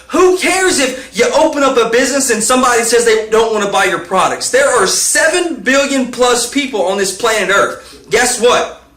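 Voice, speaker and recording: A man in his late twenties giving quick motivational business tips to camera, upbeat and pushy, checking in with "okay?" as he goes. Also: extremely high pitch, a bit bright, roomy sound. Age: 20s.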